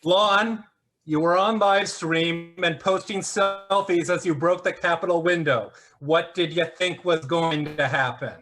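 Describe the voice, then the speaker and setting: A man's voice: on the high side, unhurried, close to an everyday voice. A man playing a smug, flippant internet conspiracy grifter who mocks theatrically in a one-act play. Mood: gleeful, mocking. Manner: sarcastic, mocking, theatrical.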